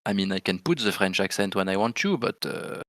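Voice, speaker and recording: A man hosting a live trading stream, giving chatty, excitable commentary and thinking aloud as he reads his charts. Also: low voice, fairly quick, no echo, clean studio sound.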